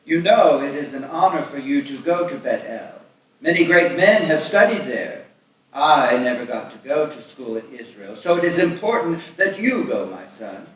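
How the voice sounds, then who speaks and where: A man's voice: a little high, expressive, normal speed, a bit bright. A man playing a stern, devout, controlling father in his fifties at a live screenplay read. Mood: stern questioning. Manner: solemn, reproving. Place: live festival stage reading in echoey room. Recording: very echoey room, distant mic.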